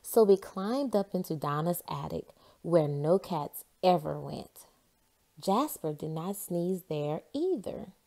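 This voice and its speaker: A woman giving an expressive read-aloud narration of a children's storybook. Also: on the low side, slow, gravelly. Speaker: storybook reader.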